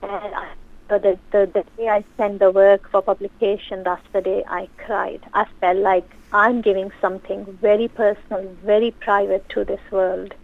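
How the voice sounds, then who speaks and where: A woman's voice: mid-range voice, flat delivery, creaky, no room sound. Earnest woman on a tinny phone line. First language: Urdu. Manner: earnest, reflective, halting with restarts. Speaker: radio guest, author. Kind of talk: personal radio conversation.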